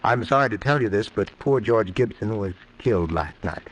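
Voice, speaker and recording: A man's voice: fairly low pitch, expressive, moderate pace. A man playing a scheming, menacing nephew with false politeness in an old-time radio horror drama. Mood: falsely polite. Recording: noisy old radio recording.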